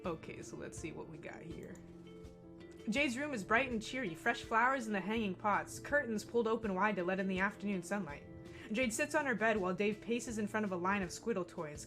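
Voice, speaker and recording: A woman from Texas with a gentle Texan accent, reading a visual novel aloud on stream with profane jokes and frequent laughter. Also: mid-range voice. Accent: mostly American with a gentle Texan accent. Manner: profane, joking, laughs often. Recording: game music behind.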